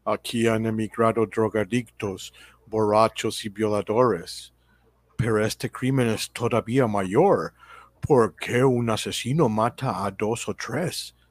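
An actor playing a pompous, outraged prosecutor orating in a radio drama courtroom. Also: male voice, on the low side, slow, no room sound. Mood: indignant. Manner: pompous, declamatory.

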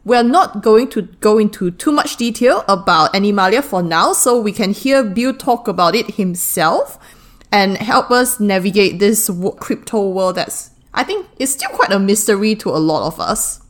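Woman, podcast co-host, mid pitch, brisk casual guest intro. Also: animated.

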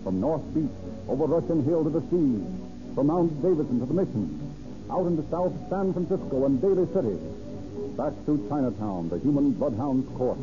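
A man's voice: on the high side, animated, moderate pace. A man narrating a scripted 1930s radio crime drama in a formal, dramatic reporting manner. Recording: muffled old radio recording. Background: music.

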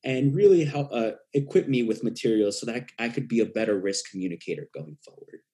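A man's voice: medium pitch, expressive, quick pace, warm tone. A young man from Peru, a native Spanish speaker and risk communication researcher, answering interview questions earnestly with frequent fillers and restarts.

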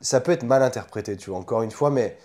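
A young man talks in a medium-pitched voice. His style is casual chat, informal and opinionated, as one side of a relaxed conversation. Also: quick pace.

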